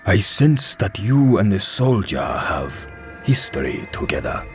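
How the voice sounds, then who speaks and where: A man plays a stern exiled archer carrying sorrow in a fantasy drama. His low voice is slow and solemn, yet expressive, over soft music.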